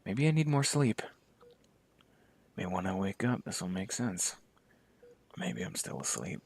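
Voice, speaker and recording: A young man playing a dazed, sardonic male medic, talking in a wry inner monologue that slips into hushed whispers. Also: low voice, sing-song, unhurried, gravelly, husky, pitched below the performer's own voice, exaggerated voice. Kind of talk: one-man voice acting.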